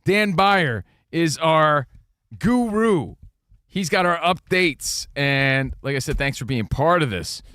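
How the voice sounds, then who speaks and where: A man co-hosting a sports radio show, talking in an energetic, jokey way about baseball and pop culture. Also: a little high, slow, clear, resonant tone, dry recording, clean studio sound. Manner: energetic, hyped, jokey rhymes. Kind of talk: casual sports talk show banter.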